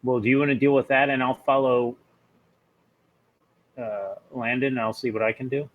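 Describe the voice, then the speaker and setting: A man's voice: medium pitch, steady tone, normal speed, narrow, tinny audio. A man chatting casually as a player planning moves in a tabletop role-playing game.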